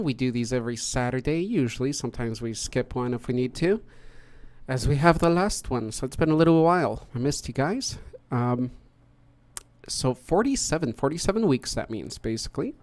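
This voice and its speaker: A man's voice: mid-range voice, lively intonation, normal speed. Chatty man hosting a livestream. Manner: chatty, upbeat, talks through setup. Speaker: podcast host. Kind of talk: casual livestream chat.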